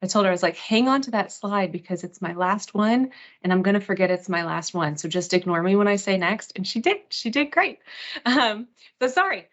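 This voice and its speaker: Slightly muffled, lo-fi online meeting audio carries a woman with a mid-pitched voice. She leads a live software walkthrough as host. Her delivery is informal and chatty, self-deprecating about herself and reassuring toward those following along. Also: fairly quick. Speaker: webinar host and software trainer.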